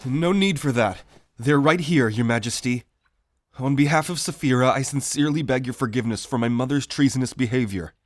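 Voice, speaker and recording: A man's voice: medium pitch, a little fast, natural voice. A man playing a loyal, principled young prince in a fantasy audio roleplay, with an earnest, courteous manner. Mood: firm, courteous.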